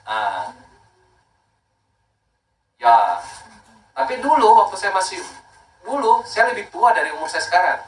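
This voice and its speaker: A man's voice: high voice, sing-song, a little slow, long pauses, roomy sound, distant mic, low-quality recording. A man in his thirties from Makassar, a skincare brand owner talking casually and emphatically, repeating points and mixing in English words. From Makassar, Indonesia. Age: 30s. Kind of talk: casual promotional talk.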